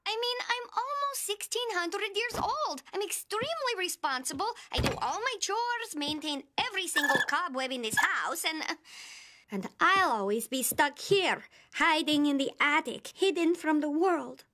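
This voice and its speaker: A woman voicing an excitable, eager teenage vampire girl in a cartoon, with gushing teen slang and a dramatic streak. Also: very high voice, normal speed, full character voice. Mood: frustrated, wistful. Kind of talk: animated cartoon acting.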